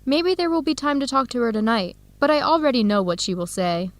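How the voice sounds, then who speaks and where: A woman's voice: on the high side, very animated, fairly quick, no echo. A young woman acting an earnest, disheartened student in her early twenties in a radio drama, enunciating carefully for language learners. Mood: torn, reflective. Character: disheartened 22-year-old Ethiopian-American woman student, earnest, torn.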